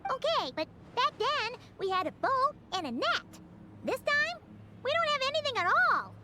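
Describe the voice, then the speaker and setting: A woman voicing a small, excitable, bossy fairy-like girl companion in an anime game, with a squeaky childlike voice. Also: normal speed, background noise. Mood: puzzled. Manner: scolding, exclaiming, refers to herself by name. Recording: loud music under the voice.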